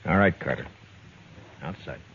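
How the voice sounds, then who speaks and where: A tough, dry frontier marshal in an old radio western. His voice is low and he speaks in blunt, commanding, clipped phrases. The recording is muffled and phone-thin, with some hiss behind it. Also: normal speed, a bit bright. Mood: threatening. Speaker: radio drama actor. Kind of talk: 1950s radio western acting.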